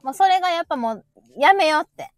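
A woman with a Kansai accent co-hosting a live audio show, chatting casually and telling stories in a chatty, animated way. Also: very high voice, fast talker, faint music behind. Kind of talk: casual chat.

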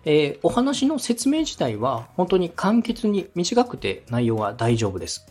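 Male podcast host, polite coach: slightly rough, husky voice, animated pitch, encouraging explanations over faint music. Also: unhurried. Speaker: podcast host, radio director giving advice.

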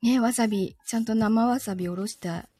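A woman's voice: a little high, a little fast, warm tone, slightly rough. A woman hosting a live stream, chatting casually in a playful, giggly way and reading out listener comments. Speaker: live stream host and voice performer.

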